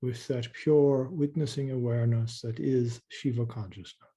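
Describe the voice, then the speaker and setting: A man leading a guided meditation in a soft, slow, calming way, with long pauses and repeated words. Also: mid-range voice, breathy edge.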